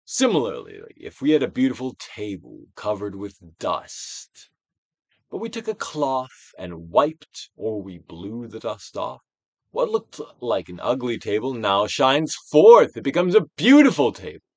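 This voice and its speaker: A man reads a guided self-help exercise like a stage actor, in a mid-pitched voice that moves widely up and down. His delivery is theatrical and deliberate, with pauses between phrases. The sound is dry and close, with no room around it. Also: wildly swooping pitch, no echo. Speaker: audiobook reader, actor.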